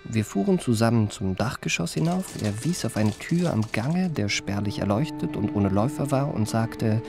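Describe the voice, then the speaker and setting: An actor narrating a German radio play as an urbane confidence trickster recalling his youth, in measured, ornate, wryly genteel phrases. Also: medium pitch, expressive, darkish tone, faint music behind, close to an everyday voice. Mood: wry, composed.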